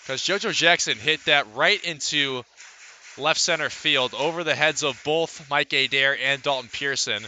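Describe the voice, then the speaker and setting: Bright, forceful male baseball announcer. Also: medium pitch. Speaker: play-by-play announcer. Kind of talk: live radio baseball commentary.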